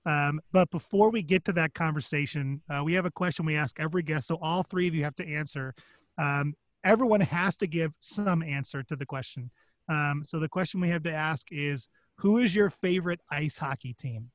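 A man's voice: on the high side, normal speed, no echo. A man co-hosting a podcast, chatting casually with frequent ums and uhs. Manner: upbeat, many ums and uhs. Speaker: podcast co-host. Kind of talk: casual chat.

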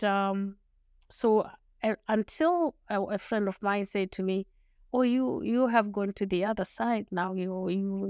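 A woman's voice: mid-range voice, a little mellow, clean tone, no room sound, clean studio sound. A woman from Zimbabwe with a Zimbabwean accent, a native Shona speaker, telling her story as a podcast guest in an earnest, thoughtful way. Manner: earnest, thoughtful, frequent restarts and small laughs. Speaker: guest, lawyer. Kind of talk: podcast interview, reflective storytelling.

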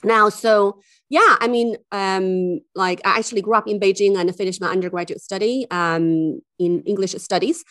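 A woman's voice: mid-range voice, steady tone, normal speed, fairly bright tone, dry recording, clean studio sound. A woman from China, a university officer, chatting as a podcast guest, enthusiastic and full of fillers and restarts. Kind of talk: casual interview chat.

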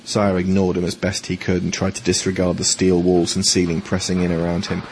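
A man's voice: sits low, completely flat delivery, a little fast, slight background noise, low-quality recording. A man reading scripted audio drama narration as the storyteller.

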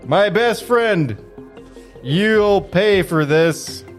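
A man acting an indignant, vengeful action hero in an amateur comedy script reading, with overdramatic, drawn-out delivery. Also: high voice, animated, soft background music, full character voice. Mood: anguished, vengeful.

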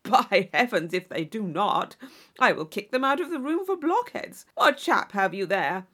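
A woman voicing a brash, boastful young gentleman of Regency England in an audiobook, blustering through exclamations and mild oaths. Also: medium pitch, big pitch swings, moderate pace. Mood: indignant, curt. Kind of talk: audiobook character voice.

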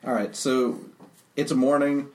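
A man narrating as game master of a tabletop game, relaxed and unhurried with fillers, recorded with a roomy echo. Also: mid-range voice. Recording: roomy echo, faint noise.

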